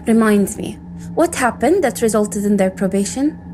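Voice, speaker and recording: Woman playing a dry, mellow-voiced, irritable female operative. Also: mid-range voice, expressive, normal speed, a little hiss or hum, close to an everyday voice. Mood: sardonic. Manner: dry, cynical, profane. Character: irritable, sarcastic female cybersecurity operative giving a report. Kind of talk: audio drama acting.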